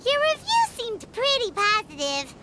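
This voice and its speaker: A woman voicing a tiny, cheeky, excitable childlike fairy companion in a video game, blunt and exclaiming. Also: extremely high pitch, a little slow, heavy background noise, heavily acted. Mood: chatty, opinionated. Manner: speaks of self in third person, exclaiming.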